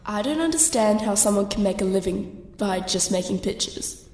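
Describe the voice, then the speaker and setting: A woman's voice: medium pitch, animated, moderate pace, darkish tone, close to an everyday voice. Actress as brash young woman in echoey room. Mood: sceptical. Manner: brash, sceptical, matter-of-fact. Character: blunt, suspicious, street-wise young woman. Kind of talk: audio drama acting. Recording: echoey room with faint noise.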